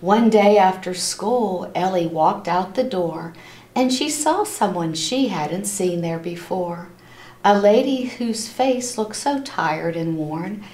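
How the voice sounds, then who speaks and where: A woman reading a picture book aloud to children in an expressive, animated storytelling style, stopping to address her listeners. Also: mid-range voice. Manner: animated, acts out characters' lines, pauses to ask listeners questions. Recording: roomy, echoing room sound.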